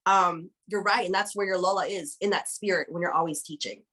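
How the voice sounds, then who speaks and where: A woman's voice: lowish voice, steady tone, brisk, fairly bright tone, low-quality recording. A woman hosting an interview, affirming her guest in a chatty way with many fillers. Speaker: interview host, company founder. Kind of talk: casual interview conversation.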